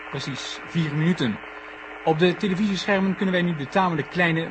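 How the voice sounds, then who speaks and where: An actor playing a formal television reporter giving live commentary in a vintage radio play. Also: male voice, a little high, lightly acted. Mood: formal, expectant. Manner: formal, orderly announcing. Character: television reporter at a space control centre, composed, excited at liftoff. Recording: noisy vintage 1970 radio play recording.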